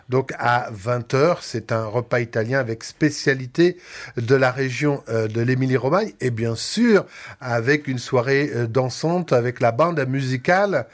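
A man hosting a casual radio talk show from a studio table, speaking with many fillers and restarts. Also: mid-range voice. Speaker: radio host.

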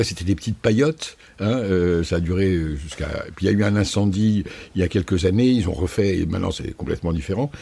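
Deep gravelly older Parisian man. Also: dark tone. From France. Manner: measured, storytelling, erudite. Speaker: guest. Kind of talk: conversational interview.

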